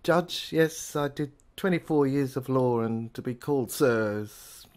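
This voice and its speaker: A woman's voice: rumbling low, a little slow, a little mellow, pitched below the performer's own voice. A woman playing a pompous, stern old male judge in a surreal audio drama.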